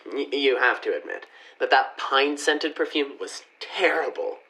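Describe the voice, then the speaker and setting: Radio play boyfriend, woman actor: low voice, weary and withdrawn, guarded, stammering, naturalistic delivery, fed up with his relationship. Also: normal speed, a bit bright, poor audio. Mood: curt, irritated.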